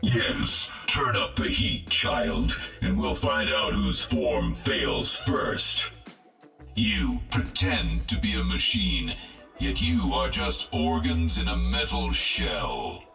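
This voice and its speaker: An actor voicing a cold, arrogant robot who scorns organic life, with a synthetic, processed, measured delivery in a video game. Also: male voice, extremely low pitch, huge pitch swings, a bit bright, roomy sound, faint music behind, full character voice. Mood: scornful. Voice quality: synthetic, gravelly. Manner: measured, clinical, disdainful. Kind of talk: video game character lines.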